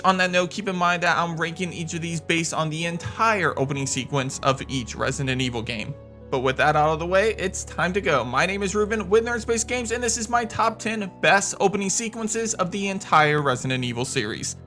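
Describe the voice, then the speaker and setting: Bright, fairly high-voiced male countdown host. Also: brisk. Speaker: video game countdown host. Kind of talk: scripted video narration. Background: music.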